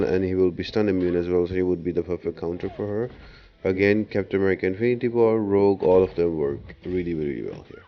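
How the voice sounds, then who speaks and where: A man's voice: on the low side, lo-fi sound, a little hiss or hum. A man giving explanatory game walkthrough commentary, laying out tips step by step in an instructional way. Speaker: gaming guide creator. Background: background music.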